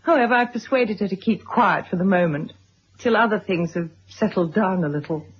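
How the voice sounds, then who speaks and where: An actress playing a gentle, solicitous middle-aged mother and wife in a radio drama, speaking considerately. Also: medium pitch, normal speed, breathy edge, lightly acted. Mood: confiding. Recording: dull old radio recording.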